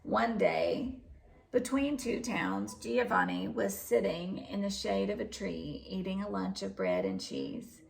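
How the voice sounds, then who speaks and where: A woman narrates a children's storybook aloud. Her voice has heavy vocal fry, and her pitch moves expressively through the sentences at a middle register. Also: lively intonation, takes it slowly, darkish tone, low-quality recording. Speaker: storybook reader.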